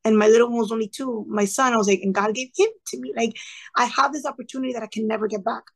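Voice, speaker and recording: A woman in her thirties with a fairly high voice and a gravelly edge, talking earnestly as an interview guest. She tells her story in a lively way and acts out the people she quotes. Her speech stays warm and sincere. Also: fast. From Cuban family background. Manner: earnest, animated, quoting others in lively asides. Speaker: guest, former classroom teacher. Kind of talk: conversational storytelling.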